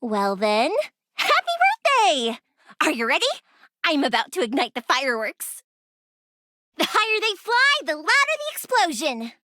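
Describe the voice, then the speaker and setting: A bubbly young fireworks maker, high and bright, her voice bouncing all over as she chatters. She giggles easily and softens when a story turns serious. It is a dry, close studio recording for a game. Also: wildly swooping pitch, measured pace, no echo, heavily acted. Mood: playful. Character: cheerful young woman fireworks maker, playful and warm. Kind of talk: game character voice lines. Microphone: close studio mic.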